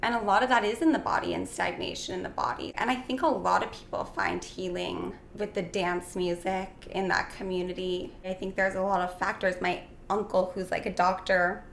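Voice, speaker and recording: A young woman in casual interview conversation, dreamy and full of "like" fillers, slightly hoarse. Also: medium pitch, steady tone, moderate pace, hardly any pauses. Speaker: guest. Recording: echoey room with faint noise.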